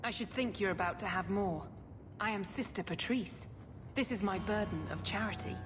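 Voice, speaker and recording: An actress playing a stern, scheming religious sister in a video game, speaking formally and deliberately with cool command. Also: female voice, medium pitch, background noise, natural voice. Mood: guarded. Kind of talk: dramatic video game acting.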